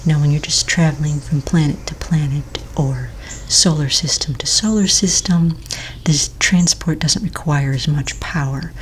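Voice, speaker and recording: Casting: woman, low soft whispery voice, patient and polite office manager for ASMR roleplay, with background noise. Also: moderate pace. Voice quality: soft, breathy, mostly whispered. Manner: gentle, polite, reassuring clerical patter. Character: courteous, patient female office manager registering pets.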